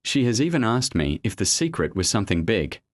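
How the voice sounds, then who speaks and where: A man reading out a first-person online story as a steady narrator, spelling out abbreviations letter by letter. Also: fairly low pitch, a little fast, dry recording.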